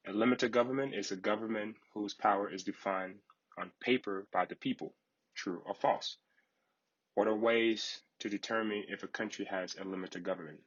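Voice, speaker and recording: A man from Liberia leads a training session in a low, husky voice. His delivery is fairly flat, with a polite, formal, instructional manner. The recording is a little dull and lo-fi. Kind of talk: online training lecture.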